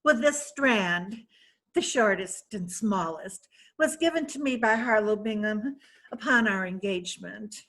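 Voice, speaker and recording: A woman playing a vain, flirtatious wealthy widow in an amateur stage reading, laughing often and speaking in a slow, theatrical way. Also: medium pitch, fairly bright tone, low-quality recording. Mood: boastful. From San Francisco. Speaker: reader in a play reading. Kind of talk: amateur readers' theatre acting.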